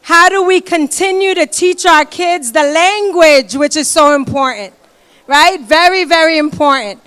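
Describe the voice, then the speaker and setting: Woman, gala emcee, very high voice, upbeat, rallies the crowd. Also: measured pace, a bit bright. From Boston. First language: Haitian Creole. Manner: upbeat, rallies the room with "give it up". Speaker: master of ceremonies. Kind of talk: gala hosting. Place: hotel banquet hall.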